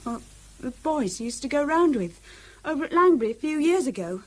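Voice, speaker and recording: A woman's voice: sits high, very animated, brisk, darkish tone, rough recording. An actress playing an earnest, troubled English schoolgirl in a British radio drama, hesitant, polite and confiding. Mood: worried, confiding. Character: earnest, troubled teenage schoolgirl, loyal and anxious.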